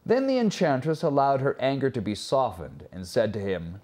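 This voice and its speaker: Animated male fairy-tale storyteller. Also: mid-range voice. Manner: dramatic, expressive reading with pauses. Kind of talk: scripted fairy-tale narration.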